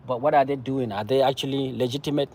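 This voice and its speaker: A man from Cameroon with a Cameroonian accent, speaking off the cuff about politics in a halting, repetitive, emphatic way. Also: mid-range voice, fairly even intonation, a little mellow. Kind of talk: impassioned political discussion, casual and unscripted.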